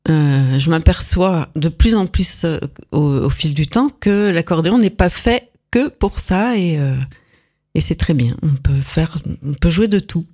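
A woman musician being interviewed on the radio, answering in a relaxed way with some hesitation. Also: deep voice, unhurried. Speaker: guest musician.

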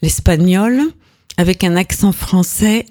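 A woman interviewed as a guest on a radio show, talking about her music in a relaxed way. Her voice sits low for a woman, and she pauses now and then to find her words. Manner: relaxed, friendly, some hesitation.

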